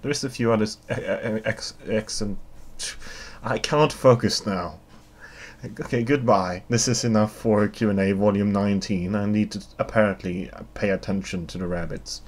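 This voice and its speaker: Dry-witted Swedish man, low voice. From Sweden. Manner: dry, wry and deadpan, fast and wordy. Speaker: vlogger answering viewer questions. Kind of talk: solo Q&A monologue.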